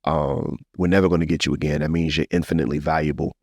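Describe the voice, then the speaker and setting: Deep-voiced American man, heartfelt podcast host. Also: fast talker, vocal fry, no room sound, studio quality. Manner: animated storyteller, slips into encouraging preacher-like address. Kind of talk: casual, heartfelt testimony and conversation.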